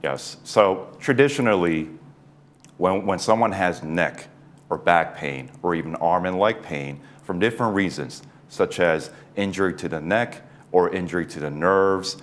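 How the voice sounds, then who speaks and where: A man in his forties, a neurosurgeon being interviewed, who speaks in a measured, thoughtful way with small restarts. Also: deep voice. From South Korea. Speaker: neurosurgeon guest. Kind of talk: interview answers.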